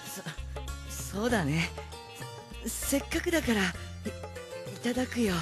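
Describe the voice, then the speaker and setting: Mild, animated teenage boy. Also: a little high, a little slow, over music. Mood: hesitant. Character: mild, polite, easily flustered teenage boy. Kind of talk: anime radio drama acting.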